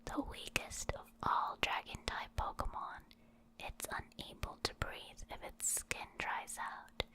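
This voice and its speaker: A woman reading short encyclopedia entries as an ASMR creator, in a soft, soothing and unhurried manner. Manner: soft, unhurried, soothing delivery with repeated words.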